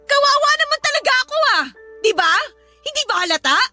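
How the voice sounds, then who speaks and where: A voice actress playing an exhausted young mother who scolds her husband in a tearful, shrill way. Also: sing-song, fast talker. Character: exhausted young mother, sharp-tongued and resentful. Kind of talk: Tagalog radio drama acting. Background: music.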